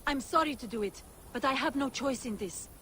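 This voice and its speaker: Sorrowful peasant, high-pitched, humble, plaintive, light background noise, video game. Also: female voice, a little fast, a bit bright. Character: weary, sorrowful villager among the downtrodden poor. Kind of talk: video game character acting.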